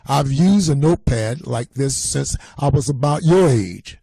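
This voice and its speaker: A man's voice: mid-range voice, lively intonation, a little slow, creaky, dark tone, slightly rough, no room sound, heavily acted. A man voicing a kindly, wise grandfather in a storybook, encouraging and patient.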